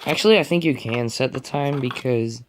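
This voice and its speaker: A man's voice: mid-range voice, normal speed. A man giving casual unboxing and setup commentary, muttering to himself as he works. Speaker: hobbyist reviewer. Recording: noisy home recording with clicks and beeps.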